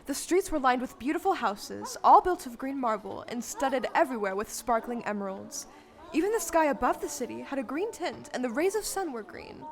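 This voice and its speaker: High-voiced woman narrating a story. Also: lively intonation, moderate pace.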